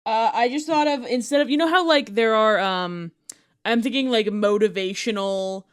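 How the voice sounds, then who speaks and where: A woman's voice: fairly high pitch, moderate pace, clean tone, no echo, studio quality. A woman co-hosting a podcast, thinking aloud in informal, comedic brainstorming chat. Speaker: podcast co-host.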